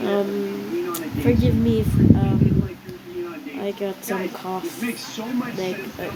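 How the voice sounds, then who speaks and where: A woman's voice: medium pitch, big pitch swings, drawn-out words. A woman with a cough and a cold, chatting casually and hesitantly while she colors, with many ums and restarts. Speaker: vlogger. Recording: loud background noise.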